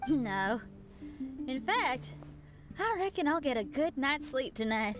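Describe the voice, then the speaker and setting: High-voiced upbeat young rock musician. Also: female voice, big pitch swings, takes it slowly, exaggerated voice. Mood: content. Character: upbeat young female rock musician, warm-hearted and confident. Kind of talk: video game character acting. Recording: faint music behind.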